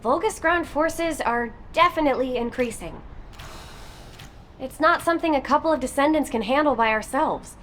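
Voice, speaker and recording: A woman's voice: sits high, moderate pace, a bit bright, slight background noise. A woman playing a bubbly, impulsive and sentimental young sci-fi soldier in a video game, chirpy and playful. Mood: brisk, upbeat.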